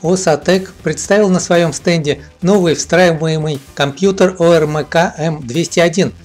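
Male narrator, slightly high voice. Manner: steady, measured reading of long technical lists. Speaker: narrator of expo video report. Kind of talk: scripted voice-over narration. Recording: voice-over with faint background music.

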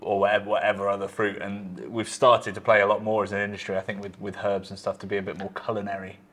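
A man in his thirties hosts a relaxed interview at a taproom table. His voice is low and measured, and his phrases tend to fade off quietly at the end. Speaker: host. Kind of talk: interview chat. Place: brewery taproom, indoors.

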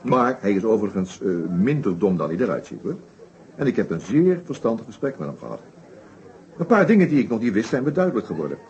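A methodical, shrewd police inspector laying out his case in a radio play. The voice is soft-edged and moves up and down with animation, pausing deliberately over small hesitations. The old recording sounds dull. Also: fairly low pitch, sing-song. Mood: assured, revealing. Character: shrewd, methodical male detective inspector summing up a murder case. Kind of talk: Dutch radio play acting.

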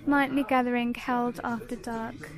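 High-pitched British woman, unhurried shopping vlog. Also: flat delivery, crowd noise. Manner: short descriptive phrases, listing items. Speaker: shopping vlogger. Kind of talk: casual shop-with-me product showcase narration.

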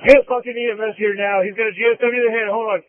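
A man's voice: high voice, fairly even intonation, fast, no echo. A male police officer giving urgent, terse, repeated commands over a police radio. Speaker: police officer at an emergency scene. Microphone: handheld police radio. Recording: tinny band-limited police radio.

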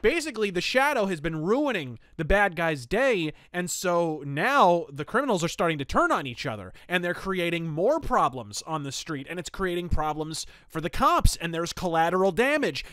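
A man hosting a podcast, giving an opinionated, conversational review in a casual, animated way. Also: sits high, normal speed, hardly any pauses, dry recording, studio quality. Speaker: podcast host reviewing pulp fiction.

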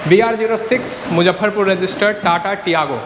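A man's voice: high-pitched, moderate pace. A man, hosting an enthusiastic walkthrough vlog of a used-car lot and talking straight to his viewers. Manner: enthusiastic, addresses viewers as friends and brothers. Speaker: vlogger host. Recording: outdoor street noise.

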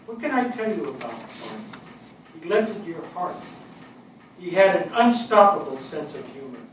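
An older man acting an earnest fire captain in a stage reading, delivering a measured eulogy for a fallen friend. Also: a little high, sing-song. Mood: fond. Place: indoor theatre stage. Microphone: distant camera. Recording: echoey stage, distant mic, faint noise.

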